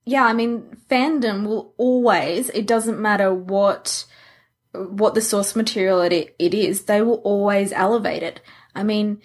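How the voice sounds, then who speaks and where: A woman's voice: mid-range voice, unhurried. A woman co-hosting a podcast in casual fan chat, playful, agreeable and readily amused. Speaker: podcast co-host.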